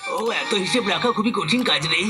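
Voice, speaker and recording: A man voicing a brash, boastful and excitable big-brother cat in a comic cartoon dub, with a dramatic, blustering manner. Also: high voice, normal speed. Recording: loud music under the voice.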